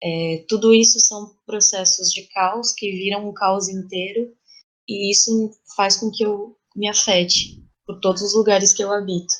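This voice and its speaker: Brazilian woman in an interview, medium pitch, reflective, repeats bits while she thinks. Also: fairly even intonation, a little slow, rough recording. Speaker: playwright and theatre artist, podcast guest.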